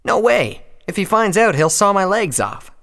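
Man voicing a good-natured, higher-pitched male pony. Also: sing-song, moderate pace, vocal fry, fairly bright tone, in a large hall, exaggerated voice. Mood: alarmed, pleading. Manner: friendly, enthusiastic.

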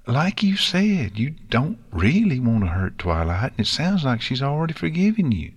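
A man's voice: mid-range voice, very animated, moderate pace, husky, highs cut off, voice pushed up. A man voicing a confident, kindly young farm pony filly with a folksy, reassuring way of talking.

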